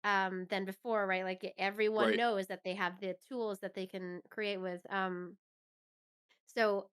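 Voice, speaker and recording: Woman as interview guest, crisp and smooth mid-range voice, relaxed conversational phrasing, agreeable manner. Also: mid-range voice, a little slow. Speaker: interview guest, actress and musician. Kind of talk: casual chat.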